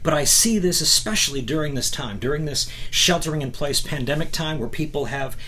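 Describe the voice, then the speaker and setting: A man with a slightly high voice speaks as a motivational teacher, moving between reading aloud and explaining. He stresses key phrases and tosses out rhetorical questions to check that listeners follow. There is faint noise behind him. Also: lively intonation, a little fast, sharp tone. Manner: rhetorical questions and frequent "right?" check-ins. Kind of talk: motivational talk mixing book reading and commentary. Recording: clear background noise.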